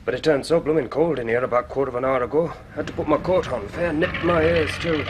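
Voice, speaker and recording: An actor playing a chatty, helpful working-class British railway guard in a radio drama, with a folksy, talkative manner. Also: male voice, on the high side, fast talker, very noisy, natural voice. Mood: chatty, grumbling. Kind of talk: radio drama character acting.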